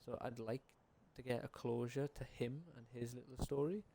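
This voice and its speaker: A man's voice: mid-range voice, steady tone, normal speed, dark tone. A young adult man giving casual, unscripted game commentary as a video creator, informal, tentative and rambling.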